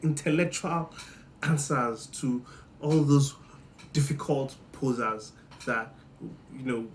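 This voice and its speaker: A man from Nigeria with a Nigerian accent, giving a joking monologue that swings between loud bursts and low muttering. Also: slight background noise. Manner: playful, teasing, jumps between loud and muttered. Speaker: monologue host. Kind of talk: comedic monologue.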